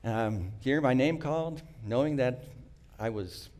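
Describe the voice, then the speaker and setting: A middle-aged man, not a native English speaker, telling a prepared personal story in an earnest, reflective way, with small restarts and frequent throat-clearing. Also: mid-range voice, expressive, a little slow, a little mellow.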